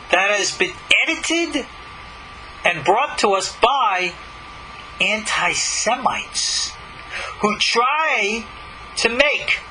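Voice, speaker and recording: A man's voice: very high voice, background noise. A man speaking as a rabbi and teacher, giving emphatic, argumentative scripture commentary full of rhetorical questions.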